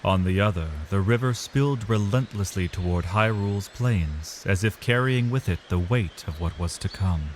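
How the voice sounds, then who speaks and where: A man delivering dramatic, measured literary narration as the storyteller of a scripted fantasy tale. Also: low-pitched, a little hiss or hum. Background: music.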